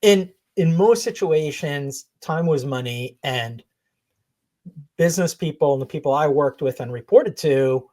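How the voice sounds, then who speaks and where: A woman's voice: low voice, animated, a little slow, mellow. A woman coach who grew up in Israel, talking as a guest on a podcast in an earnest, thoughtful way and laughing often. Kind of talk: reflective interview talk.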